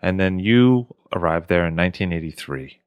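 A man hosting an interview in a measured, formal way. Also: sits low, expressive, clear, resonant tone, no echo, muffled recording. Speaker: interview host.

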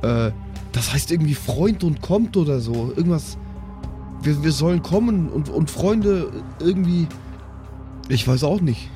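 A man's voice: fairly high pitch, lively intonation, unhurried, mellow, soft background music. A man playing an easygoing, slightly exasperated stoner in an improvised audio drama, talking colloquially and groping for words. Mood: unsure.

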